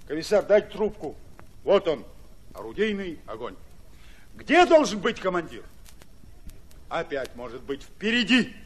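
Radio play, fiery Red commander, rustic and blunt, unhurried delivery, faint background hiss. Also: male voice, high voice, fairly bright tone, exaggerated voice. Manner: rustic colloquial, emphatic, lecturing. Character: brash, hot-tempered male Red Army division commander.

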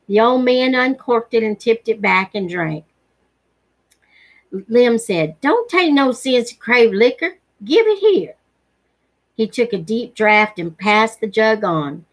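A woman's voice: medium pitch, a bit bright. A middle-aged woman reading a novel aloud as a storyteller at home. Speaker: reader of a novel read-along. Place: living room at home, indoors.

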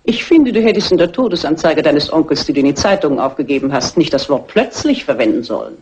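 An actress playing an eccentric older aunt in a dubbed crime film, artless and insinuating. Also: female voice, low voice, expressive, quick pace, darkish tone. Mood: coyly reproachful. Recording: dull, noisy old film soundtrack.

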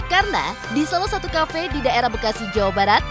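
Woman, news voice-over, slightly high, very animated, loud music underneath. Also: quick pace. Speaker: news narrator.

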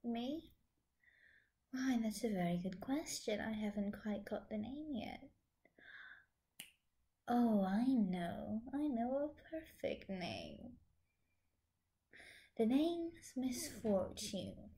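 A woman voicing a vengeful, mocking teenage supervillainess in a fan audio drama, breathy and theatrical with drawn-out phrases. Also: a little high, big pitch swings, mellow, heavily acted. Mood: gleeful menace.

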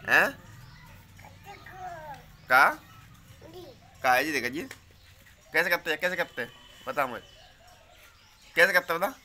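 A middle-aged man, narrating a casual village vlog on a handheld phone in an outdoor courtyard and often calling out loudly to a child. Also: fairly high pitch, a little slow, pauses often. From Uttar Pradesh, India. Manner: loud calling out to a child, then relaxed explaining. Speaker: village vlogger.

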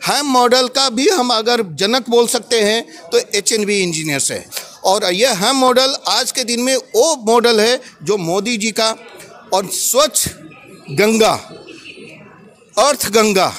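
A middle-aged man with an Indian English accent presents his company at a trade-show booth, speaking into a handheld microphone. His voice sits high, and he talks slowly, ticking through items one after another. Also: a bit bright. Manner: explains point by point in lists, mixing Hindi and English. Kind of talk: sales presentation to a visitor. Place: large indoor exhibition hall booth.

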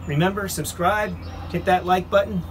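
A man's voice: sits high, fairly even intonation, normal speed. A middle-aged man hosting a fishing video, explaining and narrating in a plain-spoken way. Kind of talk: explanatory vlog talk and narration.

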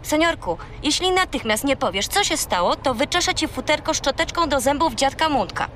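High-pitched, bossy little girl. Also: lively intonation, a little fast, a bit bright, a little hiss or hum. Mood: exasperated. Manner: scolding, correcting. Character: bossy, exasperated young girl scolding her furry companion. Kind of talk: children's radio play acting.

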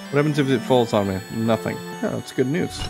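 A man giving casual let's play commentary as a game streamer, thinking aloud in a halting way. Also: mid-range voice, vocal fry. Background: game music.

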